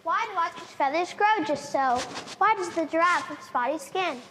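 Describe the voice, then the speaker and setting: A woman's voice: very high voice, expressive, normal speed, a bit bright. A woman voicing an eager, endlessly curious young male elephant in a stage play. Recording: reverberant hall, faint noise.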